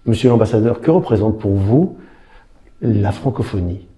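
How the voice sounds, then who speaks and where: A man's voice: on the low side, lively intonation, normal speed, mellow, a little hiss or hum. A man interviewing formally and courteously, putting questions to his guest. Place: an ambassador's residence.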